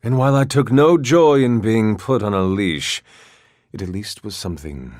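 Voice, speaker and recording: An actor playing a sly, menacing demonic spirit in a video game, with a silky, mockingly courteous delivery and a husky deep voice. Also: male voice, normal speed, a little mellow, dry recording, studio quality, lightly acted. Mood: wry. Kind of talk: dramatic video game character acting.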